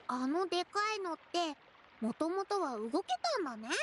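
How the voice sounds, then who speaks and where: A woman's voice: very high-pitched, expressive, moderate pace, noisy background. A woman voicing a small childlike floating fairy companion in an anime game, chatty and blunt with cute, boyish phrasing. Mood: chatty, curious.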